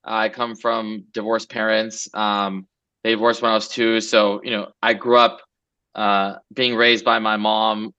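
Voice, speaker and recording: Bright-voiced man, relaxed rambling talk. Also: fairly low pitch, unhurried, clear, resonant tone, dull audio. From Los Angeles. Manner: relaxed, rambling, many fillers. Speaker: guest entrepreneur. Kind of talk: casual interview chat.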